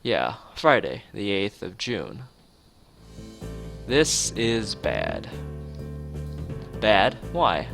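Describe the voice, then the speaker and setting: Low-voiced man streaming a game. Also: wildly swooping pitch, very slow, gravelly, long pauses, fairly bright tone, soft background music. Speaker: streamer playing a visual novel. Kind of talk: live stream commentary and reading game text aloud.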